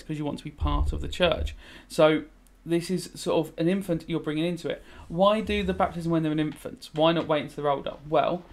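A British man in his thirties, a teacher giving casual revision commentary while gaming, rambling and thinking aloud as he goes. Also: on the high side. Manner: rambling, thinking aloud, switching between explaining and musing. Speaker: religious studies teacher.

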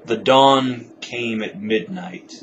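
A young man giving a solemn, dramatic poetry recitation, brooding, overwrought and halting. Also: lowish voice, a bit bright. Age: young adult. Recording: noisy amateur video playback.

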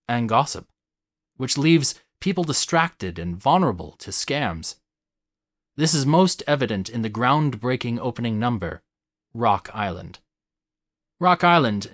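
A man in his thirties narrating a scripted video essay in a measured, deliberate, analytical way. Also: medium pitch, fairly bright tone, dry recording, clean studio sound.

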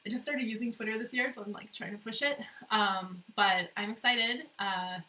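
Casting: American woman, livestream host, mid-pitched and bright, slightly congested from a cold, halting casual delivery. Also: steady tone, slight background noise, low-quality recording. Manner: informal, halting, improvised. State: sniffly with a cold. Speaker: livestream host, national director of an advocacy group. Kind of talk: casual livestream chat to viewers. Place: corner of a kitchen in a house, indoors.

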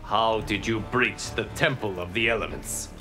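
A man's voice: fairly low pitch, moderate pace, close to an everyday voice. An actor voicing a righteous male monk champion in a fighting game, speaking in an earnest, firm way. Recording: game audio with music behind.

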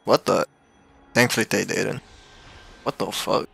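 A male gaming streamer with a heavily creaky, bright voice reacts informally in slang. He talks slowly and casually, with faint music behind. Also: lowish voice, fairly even intonation. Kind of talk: casual playthrough commentary.

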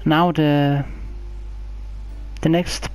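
A person giving casual let's play commentary as a gaming channel host, in a halting, hesitant way. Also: mid-range voice, flat delivery, a little mellow, poor audio.

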